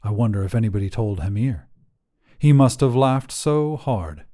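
A man narrating a literary story as a weary, desolate immortal guardian, in a solemn, measured, brooding manner. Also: on the low side, lively intonation, warm tone, close to an everyday voice. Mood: bitter, weary. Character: weary, desolate immortal guardian, forsaken by gods, telling their tale.

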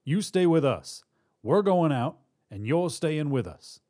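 A man's voice: a little high, very animated, measured pace, warm tone, pitched above the performer's own voice. A man plays a bullying male robber in charge of a hold-up in an audio drama reading. He raises his voice above normal talk and barks commands with menace and sarcasm. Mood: menacing. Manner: barking, commanding.

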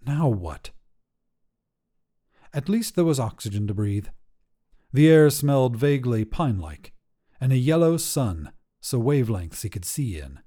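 Mellow, animated male story narrator. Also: medium pitch. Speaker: podcast host and story narrator. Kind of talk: scripted short story narration.

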